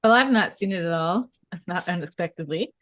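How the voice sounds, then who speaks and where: A chatty, expressive woman talking casually in an online group call. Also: on the low side, a little fast, no echo. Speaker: table read participant. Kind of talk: casual chat.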